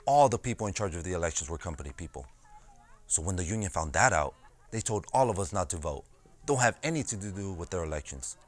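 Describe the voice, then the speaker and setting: A man's voice: sits low, expressive, fast talker. A young man in his twenties playing a streetwise farmworker turned union organizer, telling his story conversationally in a dramatized oral-history monologue. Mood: recounting, matter-of-fact. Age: 20s. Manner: conversational storytelling with frequent "you know". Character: farmworker turned union organizer, streetwise and plain-spoken, recounting old struggles. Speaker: actor.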